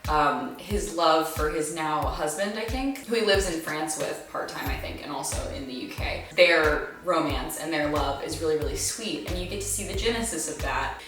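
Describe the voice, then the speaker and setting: Young female vlogger, emphatic and chatty, slightly low voice, recorded well off the mic with faint music behind. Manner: animated, gushing, emphatic. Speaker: book review vlogger. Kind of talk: casual chat to viewers. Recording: echoey room, distant mic, faint music.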